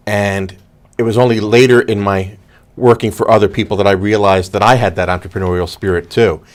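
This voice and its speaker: A man in his fifties working in public relations, co-hosting a talk show in a TV studio. He speaks in a measured way and tells his stories step by step. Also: fairly low pitch, fairly even intonation. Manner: measured, storytelling. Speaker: public relations professional, co-host. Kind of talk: casual talk-show conversation.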